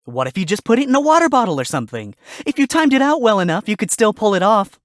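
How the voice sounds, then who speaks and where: A man's voice: high voice, sing-song, quick pace, dry recording, clean studio sound, pitched above the performer's own voice. A man voicing a wry, sarcastic fox defense attorney who wisecracks through a fan fiction audio story. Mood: wry, confident.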